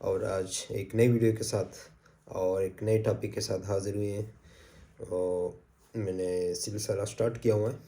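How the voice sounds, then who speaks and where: Brief: male channel host, a bit hoarse, very dark mellow tone, casual explainer. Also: lowish voice, level tone, unhurried. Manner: easygoing, repeats points, frequent "uh" fillers. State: sore throat. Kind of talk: casual advice talk to camera.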